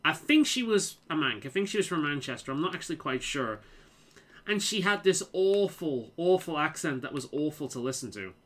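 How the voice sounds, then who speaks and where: Bright-voiced man with a light Liverpool accent. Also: fairly high pitch, animated, normal speed. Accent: mild Liverpool. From England. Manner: repeats words, stammers, restarts sentences. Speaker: game streamer. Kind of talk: casual chat while playing.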